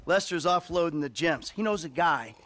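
An actor playing a weary, cagey middle-aged career criminal in a game, wry and profane, with an American accent. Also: male voice, on the high side, expressive, normal speed, a bit bright. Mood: upbeat. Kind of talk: video game cutscene acting.

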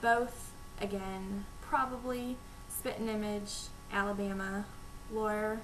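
A young woman from North Texas with a Texas accent, chatting to camera at home with lots of ums and reading out a word list over a weak, quiet webcam microphone. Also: mid-range voice, little pitch movement, slow, rough recording. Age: young adult. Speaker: vlogger. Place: small room at home, indoors. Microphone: webcam microphone, low volume. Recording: quiet webcam mic with hiss.

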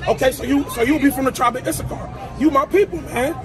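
Brief: high-pitched male street preacher, forceful and repetitive, crowd noise behind. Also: quick pace, over music. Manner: forceful, repetitive, stammering restarts. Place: city sidewalk at night, outdoors.

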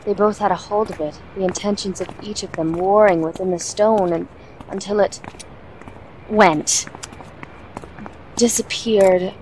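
A woman playing a composed, wry assassin, explaining things in a measured way in an audio drama. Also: mid-range voice, fairly even intonation, darkish tone, slight background noise, lightly acted, rough recording.

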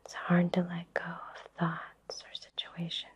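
A woman whispering breathily in a soothing, reassuring way with long pauses, guiding an ASMR Reiki session. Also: fairly low pitch, completely flat delivery. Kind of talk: whispered ASMR personal attention.

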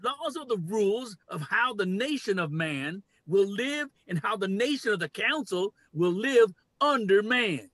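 Domineering leader of men, played by an older man, high-pitched and declamatory, dry sound, stage reading. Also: animated, unhurried, hardly any pauses, fairly bright tone, no room sound, heavily acted. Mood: commanding. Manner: declamatory, commanding. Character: domineering man who proclaims human rule over animals. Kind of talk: dramatic play reading. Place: cluttered home room, video call. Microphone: computer mic on video call.

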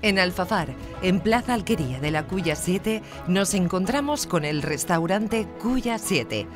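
A woman's voice: fairly low pitch, expressive, measured pace, music in the background. A woman with an accent from Spain, presenting a television food show with scripted narration. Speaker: TV cooking show host.

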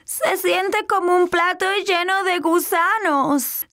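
A woman's voice: extremely high pitch, slow, a bit bright, faint music behind, full character voice. A woman voicing an eager, curious four-year-old boy in a children's cartoon dub. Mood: curious, unsure.

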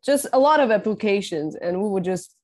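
Young woman musician, halting and thoughtful. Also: medium pitch, lively intonation, darkish tone. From Taiwan. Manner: thoughtful, halting, with many restarts and fillers. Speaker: guest musician. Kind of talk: casual interview. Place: home room, video call.

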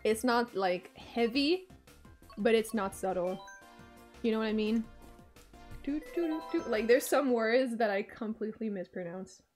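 A young woman with a French Canadian accent, whose first language is French, chatting casually and excitably while streaming a game, swearing freely and humming to herself. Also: on the high side, a little slow. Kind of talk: casual live-stream chat while gaming. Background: faint game music.

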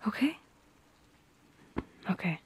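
Soft, slow-talking young woman, ASMR roleplay. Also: slight background noise. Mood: gentle, confiding. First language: Polish. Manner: soft-spoken, hesitant, often dropping into whispers. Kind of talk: intimate ASMR roleplay acting. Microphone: close microphone.

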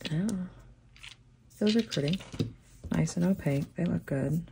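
A middle-aged woman chats her way through a nail art unboxing, her voice croaky and gravelly, low and mellow in tone. She talks slowly and loosely, wandering between thoughts with plenty of fillers. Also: slight background noise. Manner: rambling, thinking aloud with many fillers. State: croaky from a sore throat. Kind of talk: casual unboxing chat. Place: tabletop crafting desk, camera overhead.

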